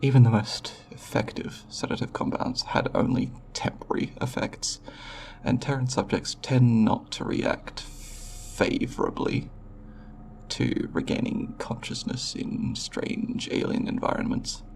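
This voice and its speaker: A man playing a nervous, formal alien researcher in an ASMR audio roleplay, with a creaky voice and precise, clinical, hesitant wording. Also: medium pitch, a little slow, a little mellow, a little hiss or hum, low-quality recording. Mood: clinical, measured. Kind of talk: ASMR roleplay, recorded report then reassurance.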